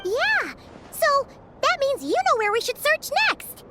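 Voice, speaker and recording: A woman's voice: very high-pitched, very animated, unhurried, heavy background noise, heavily acted. A woman voicing a small, cheerful, childlike girl in a video game, perky and encouraging.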